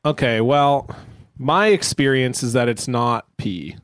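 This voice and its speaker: A man's voice: medium pitch, unhurried, no echo. Joking man in his thirties, dry close mic. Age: 30s. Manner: loose, joking, trailing restarts. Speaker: podcast co-host. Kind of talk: casual podcast chat.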